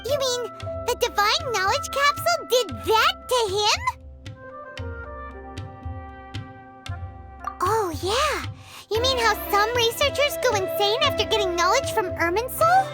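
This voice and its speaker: A woman voicing a tiny, excitable, childlike fairy companion girl in a video game, squeaky and full of exclamations. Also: moderate pace, over loud music. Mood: shocked, curious. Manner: exclamatory, speaks of self in third person. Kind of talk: video game character acting.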